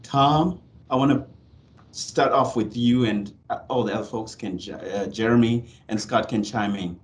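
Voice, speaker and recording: A man from Zimbabwe moderating a panel on a video call, posing questions in a polite, measured way. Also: mid-range voice.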